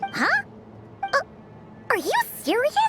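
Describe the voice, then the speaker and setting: A woman voicing a tiny, excitable childlike fairy companion in a video game, expressive and full of exclamation. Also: very high-pitched, takes it slowly, soft background music, full character voice. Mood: startled.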